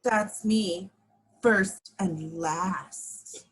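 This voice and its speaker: Goth girl, early twenties, read by a woman at a table read: offhand, low-leaning female pitch, raspy. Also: extremely slow, heavily acted, rough recording. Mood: matter-of-fact. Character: matter-of-fact twenty-two-year-old goth girl. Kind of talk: amateur at-home screenplay table read.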